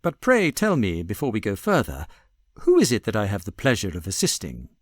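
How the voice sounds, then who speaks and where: A knowing British gentleman detective, acted by a male reader in a classic audiobook. He speaks smoothly and with assurance, his pitch moving freely, recorded dry and close. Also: wildly swooping pitch, brisk, no echo. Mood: courteous.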